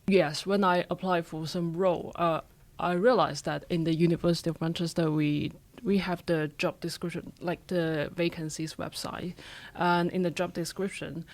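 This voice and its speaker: A man's voice: on the high side, flat delivery, normal speed. A young man speaking English as a second language, answering as an interview guest carefully and hesitantly with many fillers. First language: not English. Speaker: interview guest, university graduate. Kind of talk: casual conversational answer.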